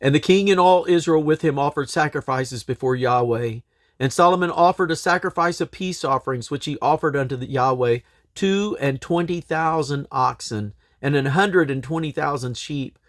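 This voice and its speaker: A man reading scripture aloud in a solemn, declamatory way, with measured phrases and deliberate pauses. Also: fairly high pitch, no room sound, studio quality. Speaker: Bible reader.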